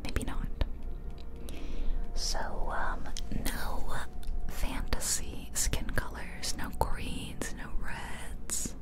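A woman whispering casual ASMR game commentary close to the microphone, unhurriedly thinking aloud. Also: extremely slow. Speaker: ASMR video creator. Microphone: close ear-to-ear ASMR microphone.

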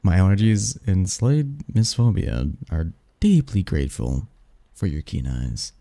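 A man plays a snarky, ambitious young male research assistant for an ASMR audio drama. His voice is dark and mellow, with a gravelly fry. He talks in a wry, pedantic way, with lively swings in pitch. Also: no echo, heavily acted. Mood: teasing, smug. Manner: wry, pedantic, halting mumbles and soft chuckles. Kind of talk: scripted ASMR audio roleplay, conversational.

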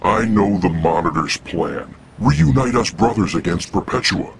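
Deep gravelly brooding cosmic god. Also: male voice, animated, a little slow, background noise, full character voice. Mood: imperious. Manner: grave, imperious. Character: proud, brooding, stubborn godlike male cosmic smith. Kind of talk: dramatic video game cutscene acting. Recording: music behind the voice. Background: music.

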